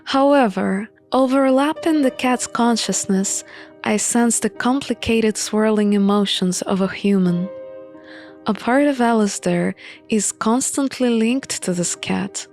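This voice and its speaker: A woman narrating a visual novel in an expressive, measured storybook style. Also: on the high side. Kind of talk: expressive storybook-like reading of game text. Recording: music behind the voice. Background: music.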